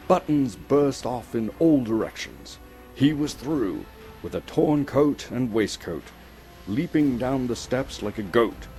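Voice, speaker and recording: A man from Canada giving a dramatic, suspenseful storybook narration with theatrical, deliberate phrasing. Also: mid-range voice, dark tone. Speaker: actor reading a story aloud. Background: music.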